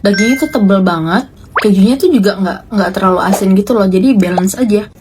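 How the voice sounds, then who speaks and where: A woman's voice: mid-range voice, fairly quick, background noise. A woman gives a casual tasting review. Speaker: food reviewer. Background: prominent music.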